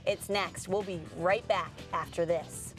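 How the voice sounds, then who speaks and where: A woman's voice: lowish voice, unhurried, soft background music. A woman co-hosting a sports show, presenting in a polished broadcast style.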